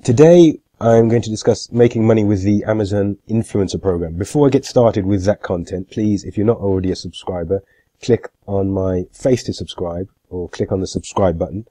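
Man with a cold, low mellow voice. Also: fairly quick, no room sound. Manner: plain, matter-of-fact, with many "uh" fillers. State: has a cold, congested. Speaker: marketing YouTuber. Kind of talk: screen-share tutorial.

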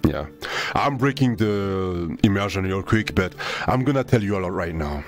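Deep voiced young guy streaming, lively swoopy pitch, swears a lot, faint music behind. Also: normal speed. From Senegal, raised in France. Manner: laid-back, slangy, swears freely, drawls some words. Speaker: VTuber streamer. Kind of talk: casual live-stream chat to viewers.

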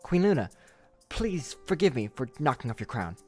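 A woman's voice: very deep voice, very animated, moderate pace. A woman voicing a nervous, apologetic young male cartoon pony who stammers and hesitates, with music behind. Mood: flustered, apologetic. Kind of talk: animated cartoon dialogue.

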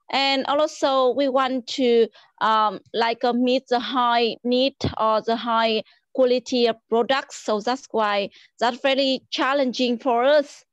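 Slow, polite Vietnamese-accented woman. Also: a little high, smooth, no room sound. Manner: hesitant, many fillers, polite. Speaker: guest. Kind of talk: casual video call chat. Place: small room indoors. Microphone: video call. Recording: dull lo-fi call audio.

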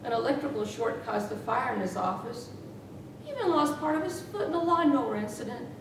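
A middle-aged woman giving a humorous tall-tale speech with theatrical, deliberate delivery and dramatic emphasis. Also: animated. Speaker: contest speaker. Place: small meeting room with rows of chairs. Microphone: far camera. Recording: echoey room from far off, noisy rumble.

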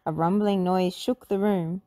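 A woman narrating a children's storybook in an animated read-aloud style. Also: fairly low pitch, measured pace, no room sound, clean studio sound.

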